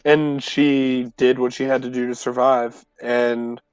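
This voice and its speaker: A man's voice: medium pitch, flat delivery, slow pace, clean tone, dry recording, close to an everyday voice. A man playing an earnest teenage adventurer in a tabletop game, explaining himself in a hesitant, deferential way. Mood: careful.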